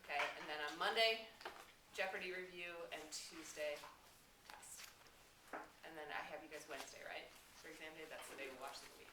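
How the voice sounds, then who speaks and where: A woman's voice: low-pitched, fairly quick, pauses often. A woman teacher talking to her class and reading a novel aloud in a classroom. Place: indoor classroom. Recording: echoey room, distant mic, background noise.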